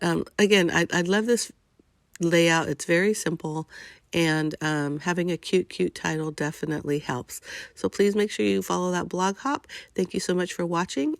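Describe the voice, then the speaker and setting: A chatty older woman with a cold-stuffed nose narrates her crafting video in a gravelly, fry-edged voice. The sound is dry and close, with no room around her, and she fills her talk with happy little asides. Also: fairly low pitch, dry recording. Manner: chatty and gushing, with frequent fillers. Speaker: scrapbooking crafter.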